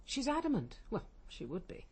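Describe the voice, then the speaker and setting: A woman's voice: fairly high pitch, huge pitch swings, moderate pace, a little mellow, husky, exaggerated voice. An actress playing an imperious, middle-aged English woman barrister, clipped, cutting and determined, in a radio crime drama. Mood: bitter, determined. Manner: commanding, clipped, insistent. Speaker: actor.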